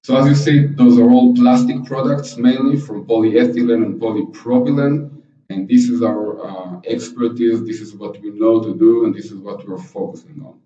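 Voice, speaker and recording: A man's voice: medium pitch, fairly even intonation, mellow. Israeli man presenting in an echoey hall. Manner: halting, many fillers. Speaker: conference presenter. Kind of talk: conference presentation. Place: conference hall. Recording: dull, echoey hall from far off.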